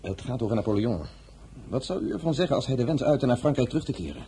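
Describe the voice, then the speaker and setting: Man playing polite scheming politician in a radio play, formal smooth talker, very animated, faint hiss. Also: mid-range voice, fast, close to an everyday voice. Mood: persuasive, insistent. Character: smooth-tongued, scheming political brother-in-law, courteous schemer. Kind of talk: radio drama acting.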